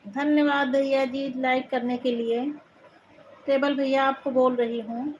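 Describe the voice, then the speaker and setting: Woman, Awadhi-tinged Hindi accent, high pitch, live stream host, thanking viewers by turn, phone mic. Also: level tone, unhurried, muffled recording, slight background noise. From Uttar Pradesh, India. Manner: warm thanking, calls viewers brother. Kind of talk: casual live chat reading viewer comments.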